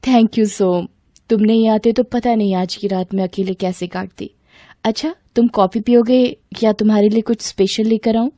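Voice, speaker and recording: Woman acting sweet helpless young woman who is scheming, hesitant, mellow, story dialogue. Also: medium pitch, steady tone, normal speed, no echo, studio quality, close to an everyday voice. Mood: sweetly pleading.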